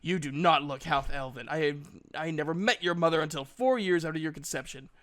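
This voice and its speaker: A man's voice: fairly high pitch, a little fast, fairly bright tone, lightly acted. A man voicing a proud, sardonic male elf with a fierce temper and a commanding way of talking, in an audiobook. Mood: furious.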